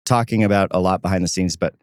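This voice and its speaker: A male co-host talks in an informal podcast manner. His voice sits a bit low, and the recording is dry with no room sound. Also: fairly even intonation, fast talker, no room sound. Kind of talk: casual podcast chat.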